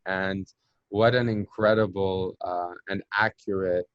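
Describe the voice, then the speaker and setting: A man with an American accent speaks in a lowish voice, unhurried and reflective, often pausing on "um" and "you know" as he finds his words. The sound is dry and close, with a slightly dull, muffled top end. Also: steady tone, clean tone, no room sound. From Palestinian diaspora. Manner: reflective, searching for words, frequent fillers. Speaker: interview guest, journalist. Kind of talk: conversational storytelling.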